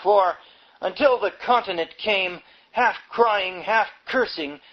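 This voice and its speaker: A man's voice: sits high, slow pace, muffled recording. A man reading a book aloud in an emphatic, sardonic manner, as an audiobook narrator given to wry delivery. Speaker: audiobook reader.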